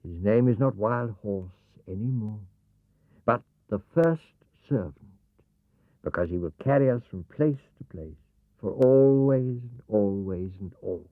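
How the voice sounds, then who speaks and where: A man's voice: lowish voice, dry recording, close to an everyday voice. Storybook cave woman, played by a man: confident animal tamer, incantatory delivery; tinny old cassette. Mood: assured. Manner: deliberate, incantatory. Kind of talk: children's storybook reading. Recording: thin, narrow-band vintage cassette sound.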